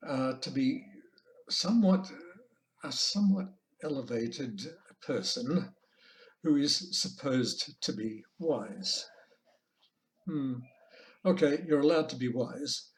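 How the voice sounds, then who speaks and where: An Australian man delivers an informal talk as a teacher. He speaks very deliberately and slowly, often halting between phrases, in a mid-range voice. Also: medium pitch, animated, rough recording. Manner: measured, deliberate, halting with pauses. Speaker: language teacher giving a conference presentation. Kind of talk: informal online lecture. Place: online video call presentation.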